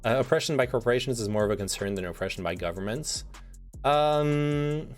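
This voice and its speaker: A man's voice: mid-range voice, a little fast, soft background music. A man livestreaming in a casual, sweary way, rambling and often restarting his sentences as he reads out statements and reacts to them. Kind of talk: casual chat, reading quiz statements and reacting.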